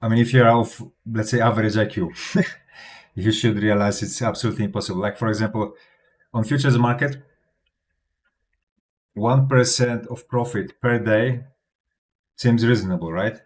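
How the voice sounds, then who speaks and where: A fairly low-pitched man speaks English with a Polish accent, for whom Polish is the native tongue. He talks casually and bluntly to his viewers, restarting phrases and slipping in short laughs as he gives confident advice. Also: fairly even intonation, normal speed, long pauses. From Poland. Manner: chatty, frequent restarts and little laughs. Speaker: crypto YouTuber. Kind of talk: casual talking-to-camera vlog and Q&A.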